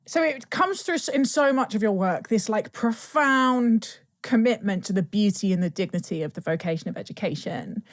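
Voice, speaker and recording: A woman hosts a podcast conversation, framing questions thoughtfully and encouraging her guest. Her pitch is mid-range for a woman. The recording is dry and close. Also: expressive, normal speed, hardly any pauses, no room sound. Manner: warmly affirming, thoughtful questions. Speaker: podcast host. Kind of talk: conversational interview.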